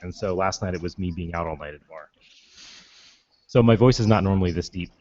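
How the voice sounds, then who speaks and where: A hoarse man chatting casually on a studio microphone, easygoing and quick to laugh. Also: low-pitched, warm tone, highs cut off. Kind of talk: casual group chat.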